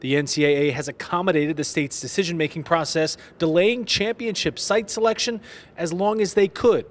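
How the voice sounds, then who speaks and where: A man's voice: a little high, moderate pace. A man delivering a scripted live sports news report in a broadcast style.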